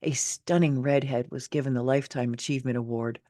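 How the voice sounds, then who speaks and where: A woman's voice: rumbling low, steady tone, a little fast, mellow, dry recording, clean studio sound. A woman reading her own personal story as its author, in a candid, wry, conversational way with casual asides. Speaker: author and narrator. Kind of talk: expressive personal memoir reading.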